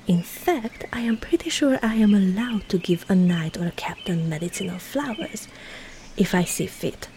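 A woman playing a teasing young medieval maid in an intimate roleplay drama, coy and playful, often dropping into breathy, hushed tones. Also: lowish voice, a little hiss or hum. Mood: teasing, curious. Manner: coy, playful, soft breathy asides, slow deliberate phrasing. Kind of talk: intimate roleplay audio drama, partly whispered.

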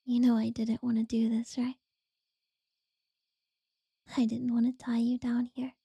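A woman voicing an obsessive, possessive captor in a whispered audio roleplay, slow and unsettlingly sweet. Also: on the high side, completely flat delivery, no echo, exaggerated voice. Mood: creepy tender. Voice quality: soft breathy whisper.

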